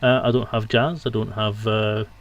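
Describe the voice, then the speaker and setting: A man's voice: lowish voice, level tone, normal speed, crowd noise. A man hosting a live stream in casual chat. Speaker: stream host.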